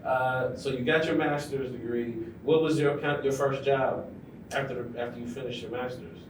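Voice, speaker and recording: A man's voice: medium pitch, fairly even intonation, normal speed, a little hiss or hum. Brief: male interviewer, prompting follow-up questions, far from the mic in an echoey room. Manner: prompting, sums up guest's answers. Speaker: host, interviewer. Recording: roomy, distant mic, faint ambience.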